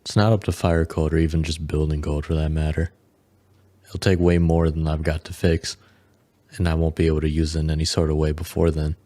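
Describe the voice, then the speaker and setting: A man plays a dejected everyman in an audio drama. His voice sits low, and he talks like someone whose luck has run out. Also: flat delivery, brisk, dark tone. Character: dejected, down-on-his-luck man who bought a bad building.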